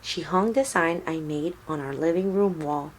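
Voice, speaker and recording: A woman reading a children's storybook aloud in a deliberate, expressive storytelling manner. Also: low-pitched, slight background noise, poor audio. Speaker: storybook reader.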